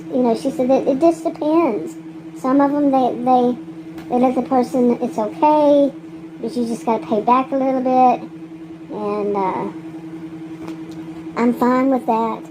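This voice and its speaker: Texan lady rambling on her vlog, high voice, laughs a lot, kinda frank, faint room hum. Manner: frank and chatty, laughs often, many restarts. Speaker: gig delivery vlogger. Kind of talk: rambling casual monologue.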